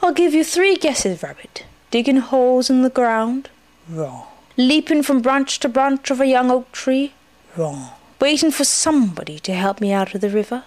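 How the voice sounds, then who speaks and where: A woman acts out a gloomy old donkey from a storybook. He speaks in a cold, deadpan, ponderous way, heavy with sarcasm, recorded close and dry. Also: high-pitched, normal speed, dry recording, voice pushed up. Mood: resigned sarcasm.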